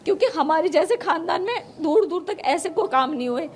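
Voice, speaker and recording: A woman's voice: very high-pitched, lively intonation, a little fast. A middle-aged woman, answering interview questions in an emphatic, emotional way and repeating herself. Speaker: mother being interviewed.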